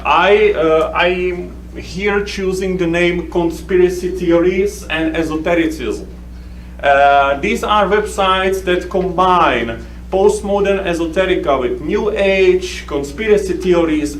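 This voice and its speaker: A man from the Czech Republic with a Czech accent, giving a conference talk as a researcher, speaking haltingly with many fillers and restarts. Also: sits high. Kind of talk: conference presentation. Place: conference hall. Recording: echoey room recorded from a distance with faint hum.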